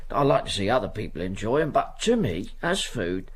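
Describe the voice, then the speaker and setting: A man's voice: lowish voice, big pitch swings, moderate pace, breathy edge. An actor playing a kindly, poor old ticket porter in a radio drama, stammering and repeating himself as he fusses. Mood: cheerful, fussing. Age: older.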